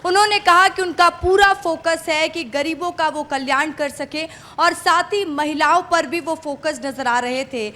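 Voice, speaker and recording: High-pitched woman reporting live news. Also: fairly even intonation, measured pace, few pauses, sharp tone.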